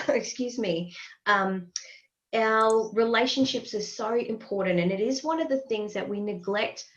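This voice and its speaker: A woman's voice: a little high, unhurried, a bit bright, dull audio. A woman hosting a podcast interview, chatting in a friendly way with plenty of fillers while getting over a respiratory ailment. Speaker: podcast host. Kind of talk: casual interview chat.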